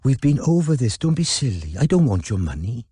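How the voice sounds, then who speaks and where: A man voicing an affectionate, cajoling Welsh woman with a deep voice in an audiobook. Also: sing-song, brisk, dark tone, breathy edge, no room sound. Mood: insistent. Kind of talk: audiobook character dialogue.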